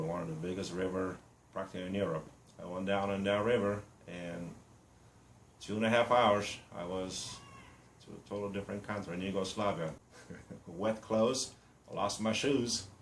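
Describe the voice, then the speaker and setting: A deep-voiced Romanian man in his fifties speaks in blunt, halting English, filling pauses with fillers as he boasts about himself. The room around him is echoey, with faint ambient noise underneath. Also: creaky. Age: 50s. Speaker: reality show applicant. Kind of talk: casual self-introduction to camera.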